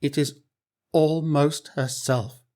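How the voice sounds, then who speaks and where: A remorseful older king in a storybook, as a man reading aloud puts him on. He speaks in a sorrowful, earnest way. His speech is slow and a little high for a man. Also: fairly even intonation, a little mellow. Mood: wondering.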